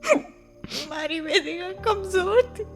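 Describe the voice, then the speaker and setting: An actress playing a hurt, insistent young wife in an intense, emotionally raw radio drama. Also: female voice, very high voice, slow pace, faint music behind, exaggerated voice. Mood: tearful, pleading. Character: hurt, insistent young wife, once widowed, pleading for love. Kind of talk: Hindi radio drama acting.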